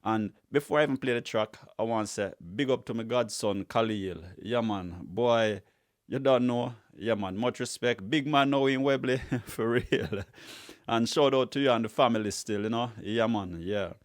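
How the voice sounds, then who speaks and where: A middle-aged man with a Jamaican accent hosting a music show, casually introducing tracks and giving shout-outs in a conversational, slangy way. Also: mid-range voice, normal speed, dry recording, clean studio sound. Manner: conversational, repeats slang affirmations.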